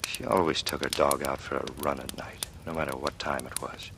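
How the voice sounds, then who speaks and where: A man's voice: rumbling low, steady tone, fairly quick. An actor playing a grim, worried man bent on vengeance, in a 1940s radio drama. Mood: anguished, bitter. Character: grim, worried male friend bent on avenging murders. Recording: noisy old radio broadcast recording.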